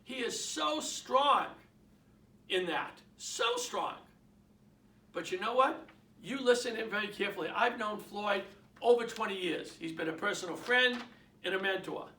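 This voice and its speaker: A man's voice: high voice, lively intonation, normal speed, sharp tone, distant mic, a little hiss or hum. A man with a Boston accent giving an emphatic motivational sales talk to realtors, repeating his points and asking the audience questions. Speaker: real estate sales trainer. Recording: roomy, from off the mic.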